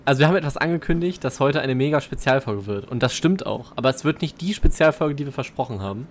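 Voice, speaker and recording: A man's voice: medium pitch, animated, fast talker, slight background noise. A young man, co-hosting a podcast in casual chat. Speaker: podcast co-host.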